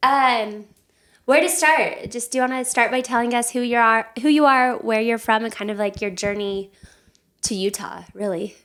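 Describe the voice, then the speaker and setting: A woman's voice: a little high, normal speed, fairly bright tone. A woman hosting a podcast, talking in a casual interview style. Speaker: podcast host.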